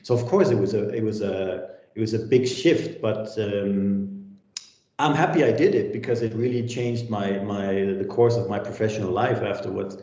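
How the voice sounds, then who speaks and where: A man's voice: lowish voice, normal speed, warm tone, reverberant, highs cut off. A man in his forties from Denmark, a senior lecturer answering interview questions in a reflective way with many restarts.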